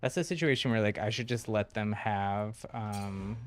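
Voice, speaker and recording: A man's voice: fairly low pitch, moderate pace. A man giving casual, self-deprecating live commentary as he streams a video game. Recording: clearly noisy game audio behind voice. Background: video game sounds.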